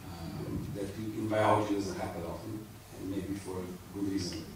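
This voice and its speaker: A middle-aged man opens a conference in a halting way, full of pauses and fillers. His voice sits a little low and comes from a handheld mic in a large, very echoey hall, heard from some distance with clear room noise behind him. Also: fairly even intonation. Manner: hesitant, many fillers, halting delivery. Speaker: conference opener, funding agency official. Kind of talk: opening remarks at a conference. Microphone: handheld microphone.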